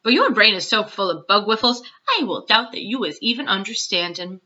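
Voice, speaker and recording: A woman voicing a big, kindly, excitable old male giant from a storybook, with mangled grammar and nonsense words. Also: mid-range voice, a little fast, dull audio, close to an everyday voice. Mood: earnest explaining.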